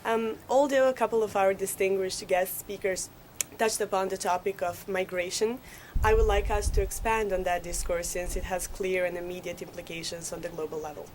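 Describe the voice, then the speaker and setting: A woman's voice: mid-range voice, fairly even intonation, normal speed, a little mellow, low-quality recording. Woman, exchange student, polished prepared intro, faint hiss. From Croatia.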